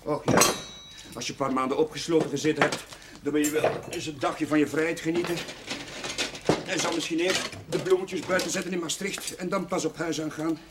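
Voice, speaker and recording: An actor playing a kindly, slightly awkward village chaplain in a television drama, speaking in a conversational, hesitant way. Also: male voice, on the high side, steady tone, a little fast, lightly acted. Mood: evasive, easygoing. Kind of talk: naturalistic television drama acting. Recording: noisy old TV soundtrack, clattering dishes.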